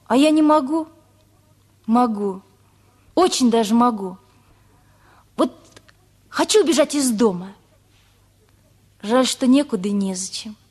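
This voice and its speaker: An actress playing a headstrong, earnest teenage girl with a husky voice in a radio play. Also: on the high side, expressive, measured pace, pauses often, darkish tone. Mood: indignant, defiant.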